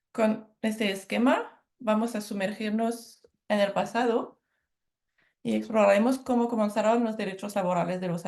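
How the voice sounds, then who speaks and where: A woman's voice: mid-range voice, a little mellow. French-accented woman giving a lecture. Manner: measured, careful, with self-corrections on hard words. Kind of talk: academic presentation.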